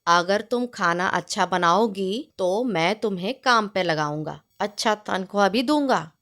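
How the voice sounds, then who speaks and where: A woman voicing a demanding, food-obsessed male village landlord in a storybook tale, bossy and informal, quick to anger. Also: mid-range voice, fairly bright tone, pitched below the performer's own voice, natural voice. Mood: bargaining. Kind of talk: storybook character dialogue.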